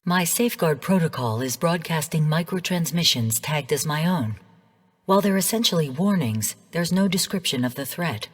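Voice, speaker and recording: A woman voicing a calm, calculating artificial intelligence in a video game, speaking formally and with measured, cool command. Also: sits low, slightly rough, long reverb tail. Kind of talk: scripted video game voice lines.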